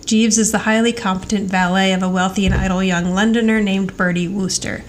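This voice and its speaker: A woman's voice: mid-range voice, fairly even intonation, fairly quick. A woman co-hosting a podcast, casually recapping in a chatty way and acting out bits of dialogue as she retells. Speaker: podcast co-host. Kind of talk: casual TV rewatch recap chat. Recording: clear background noise.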